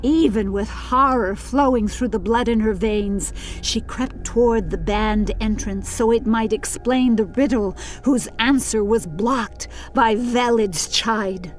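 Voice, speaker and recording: A woman narrating a scripted fantasy audiobook in a formal, theatrical, measured storybook manner. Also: a little high, noisy background. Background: faint music.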